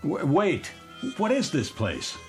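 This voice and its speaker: A man's voice: fairly high pitch, wildly swooping pitch, slow, creaky, soft background music, heavily acted. A man acting in a radio drama as a weary, bewildered businessman on a train, hesitant and stammering. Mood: alarmed, bewildered.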